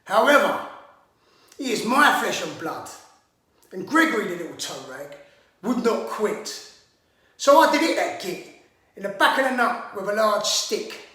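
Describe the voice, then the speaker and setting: A man's voice: high-pitched, big pitch swings, a little slow, long pauses, sharp tone, full character voice. A man performing a theatrical monologue as a hot-tempered, swaggering brawler with mock-grand, sweary speech, in an echoey room. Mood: swaggering, storytelling. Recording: echoey room, distant microphone.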